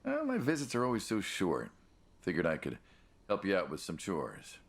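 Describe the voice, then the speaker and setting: An actor playing a patient middle-aged mob hitman in a video game, soft-spoken, dry and quietly probing. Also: low voice, wildly swooping pitch, moderate pace, heavily acted. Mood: musing, probing. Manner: dry, understated, inquisitive. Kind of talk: naturalistic video game acting.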